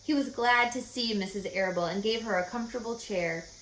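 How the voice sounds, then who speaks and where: A woman's voice: a little high, fairly quick, a bit bright. A woman reading a children's story aloud as scripted narration. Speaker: audiobook reader. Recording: echoey room, faint background noise.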